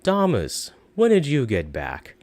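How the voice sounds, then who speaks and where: A man acts out a sly storybook pawnbroker, boastful and full of flattery. His pitch leaps about as he delivers theatrical, wheedling sales patter. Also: unhurried, no echo. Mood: cheery. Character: sly, boastful, flattering pawn shop owner, a swindler.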